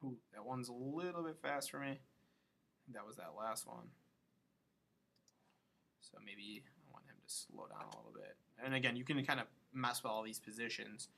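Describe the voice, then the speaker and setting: A man with a gravelly, bright voice at a medium pitch talks through a software tutorial. He is informal and thinks aloud, muttering to himself between steps. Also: very animated, normal speed, pauses often, slight background noise. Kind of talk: casual screen-recorded tutorial, thinking aloud while working.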